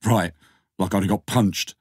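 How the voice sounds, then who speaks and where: A man's voice: low voice, fairly even intonation, unhurried, breathy edge, no echo, clean studio sound. A man playing a wry, smitten, insistent male lover in an audiobook, with an earnest edge.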